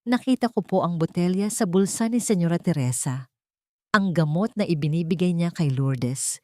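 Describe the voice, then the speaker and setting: A woman's voice: lowish voice, lively intonation, moderate pace, warm tone, no room sound, clean studio sound, lightly acted. A woman voicing a grieving household maid who pleads politely with a trembling voice. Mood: pleading.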